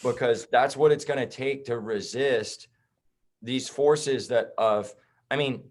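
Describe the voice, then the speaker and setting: A man's voice: medium pitch, slow. Blunt, heated man on a podcast. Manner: blunt, heated, swears and says bro. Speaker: podcast guest, chiropractor. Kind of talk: casual podcast conversation.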